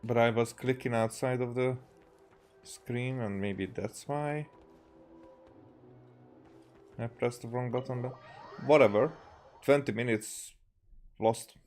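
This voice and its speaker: A man from Hungary with a Hungarian accent, giving casual, halting gameplay commentary as he streams. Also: medium pitch, fairly even intonation, darkish tone, a little hiss or hum. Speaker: game streamer.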